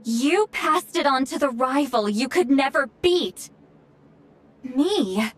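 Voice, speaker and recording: Fierce, high-voiced ageless shaman. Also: animated, takes it slowly. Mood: exasperated, wistful. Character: fierce, proud, irritable female shaman over two hundred. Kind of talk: anime-style video game voice acting.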